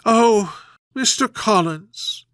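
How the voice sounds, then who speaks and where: A man's voice: high voice, lively intonation, drawn-out words, fairly bright tone, no room sound, clean studio sound, pitched above the performer's own voice, full character voice. A man voicing a melodramatic middle-aged mother who complains and scolds in a plaintive, querulous way, as in a period storybook. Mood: doleful.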